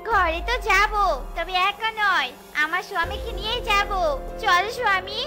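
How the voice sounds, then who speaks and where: Woman as a shrill, bossy young fox wife. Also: moderate pace, over music. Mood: bossy, possessive. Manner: whining, bossy. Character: demanding, quarrelsome young fox wives, possessive and bossy. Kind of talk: exaggerated Bangla cartoon acting.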